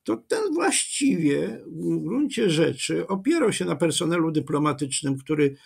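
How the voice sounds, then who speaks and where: Starszy mężczyzna o chropawym, zachrypniętym głosie, rozmowa wideo. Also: male voice, a little high, sing-song, gravelly, slightly rough. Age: older. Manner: measured, digressive, with many fillers and self-corrections. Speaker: history professor. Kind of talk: lecture-style interview answer.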